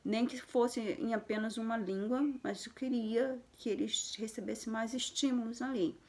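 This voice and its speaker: A woman's voice: a little high, steady tone, moderate pace, a little mellow. A Brazilian woman in her thirties, a mother of twins, talking casually to camera in a conversational way, with restarts and tag questions. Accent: Brazilian Portuguese.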